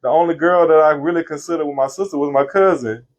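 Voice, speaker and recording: A man of fifty from Florida, telling stories from his life in a relaxed interview. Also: on the high side, quick pace. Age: 50s. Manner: relaxed, laughing, Southern slang. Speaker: interview guest, singer. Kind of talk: casual storytelling interview.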